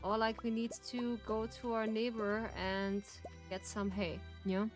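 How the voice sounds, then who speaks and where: Woman streamer with a German accent, unhurried soft-spoken chat over faint music. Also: fairly high pitch, fairly even intonation. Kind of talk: casual gameplay chat.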